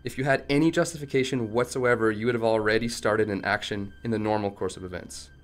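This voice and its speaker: A man acts out a tech executive held against his will in a radio play. His voice is fairly low, and he is defiant and principled. He argues in a firm, articulate way. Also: fairly even intonation, fast talker, close to an everyday voice. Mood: firm defiance. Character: defiant, principled male tech company chief held captive.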